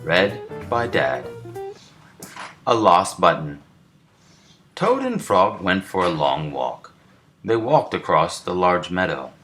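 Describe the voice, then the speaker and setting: A man with a deep voice reads a children's story aloud. His delivery is very unhurried and deliberate, suited to bedtime. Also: big pitch swings, pushed and bright, soft background music. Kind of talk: storybook narration.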